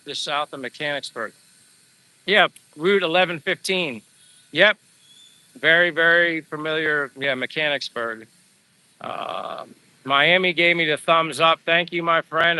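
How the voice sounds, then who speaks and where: A man in his fifties from Pennsylvania, rambling in casual live chat and reading out viewer comments, his voice going hoarse from talking. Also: fairly high pitch, a little slow, bright, forceful tone, no echo, low-quality recording. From Pennsylvania, USA. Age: 50s. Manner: rambling, chatty, answers viewers by name. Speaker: livestream vlogger. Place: outdoors on a walk.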